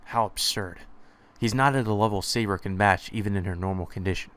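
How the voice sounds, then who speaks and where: A man's voice: fairly low pitch, brisk, a bit bright. A man narrating a visual novel aloud in the first person, reading steadily with small stumbles. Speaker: let's play narrator.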